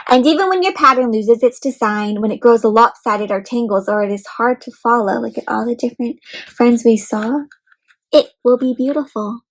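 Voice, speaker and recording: A woman hosting an interactive read-aloud for young children, expressive, asking them questions and explaining things simply. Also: on the high side, moderate pace, rough recording.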